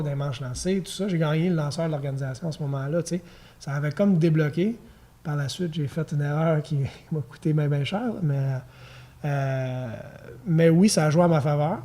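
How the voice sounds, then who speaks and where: A man in his thirties with a Quebec French accent, a former pitcher chatting as a podcast guest, telling stories easily with chuckles and fillers. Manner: relaxed storytelling with fillers and chuckles. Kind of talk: casual podcast conversation.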